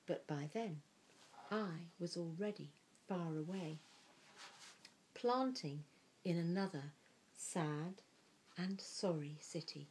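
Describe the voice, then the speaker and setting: A woman reading a picture book aloud with expressive, deliberate delivery, letting some phrases fall hushed and breathy. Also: lowish voice, a little hiss or hum, poor audio.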